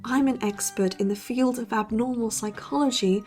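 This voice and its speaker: A woman's voice: fairly high pitch, moderate pace, soft background music, natural voice. Dry, sardonic young student podcaster woman acting. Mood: dry, teasing. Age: young adult. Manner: deadpan, precise. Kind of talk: bantering podcast-style audio drama.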